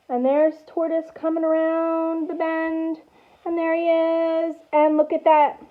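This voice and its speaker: High-pitched woman reading aloud, unhurried. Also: phone-quality sound. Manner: expressive, animated storytelling with gasps and exclamations; child-directed, asking prompting questions. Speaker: teacher reading aloud to young children. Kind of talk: picture-book read-aloud with commentary and questions.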